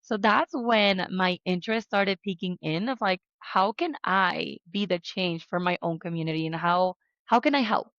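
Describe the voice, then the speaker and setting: A woman's voice: lowish voice, normal speed, few pauses, fairly bright tone, dry recording. A young woman from Mexico, a podcast guest telling her life story in an earnest way with questions to herself. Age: young adult. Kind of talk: interview conversation. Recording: dry, slightly dull lo-fi sound.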